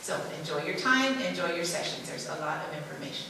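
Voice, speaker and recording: A woman's voice: sits low, lively intonation, fast. Woman, welcome announcement, friendly, echoey hall, long reverb. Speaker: local welcome staff. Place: large convention center meeting room. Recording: echoey hall from far off with background noise.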